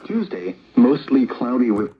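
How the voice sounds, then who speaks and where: A man's voice: medium pitch, sing-song, unhurried. A synthetic-sounding man reading a scripted weather forecast, heard through a radio speaker. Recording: dull radio broadcast played through speaker, faint noise.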